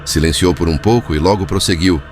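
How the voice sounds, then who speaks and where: A man's voice: deep voice, fairly quick, soft background music. A man reading a spiritual book as a formal, literary scripted narration. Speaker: audiobook narrator.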